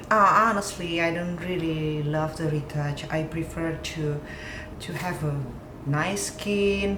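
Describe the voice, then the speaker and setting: A young woman with an Indonesian accent talks in a loose, upbeat way and keeps restarting her phrases. Her voice sits a little low for a woman. The sound is roomy and echoey, with clear background noise. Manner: chatty, upbeat, halting restarts. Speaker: interview guest, fashion model. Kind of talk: casual interview chat. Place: apartment with city view.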